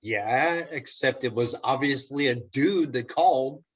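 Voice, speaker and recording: A man's voice: medium pitch, unhurried, a bit bright, husky, lo-fi sound. A man playing an exasperated, reluctant straight man in an office comedy, read dryly and curtly over a video call. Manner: dry, curt. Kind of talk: comic stage script table read. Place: home, online video call.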